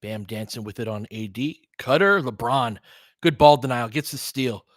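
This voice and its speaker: A man's voice: mid-range voice, animated, moderate pace, dry recording, clean studio sound. A man doing casual basketball play-by-play as a stream host, in clipped phrases with chatty asides to listeners.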